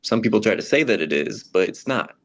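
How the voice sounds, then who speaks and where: Man acting as troubled American churchgoer, slightly deep voice, frank, earnest, conversational readers theater. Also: expressive, fairly quick, a bit bright. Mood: firm, earnest.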